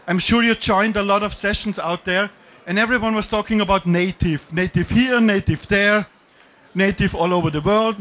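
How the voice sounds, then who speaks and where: A man's voice: high voice, a bit bright. A middle-aged man from Austria with an Austrian German accent, giving a joking, self-deprecating talk on a conference stage with a handheld mic. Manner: self-deprecating, jokey, with slightly non-native phrasing. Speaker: conference speaker. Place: dim conference stage with audience.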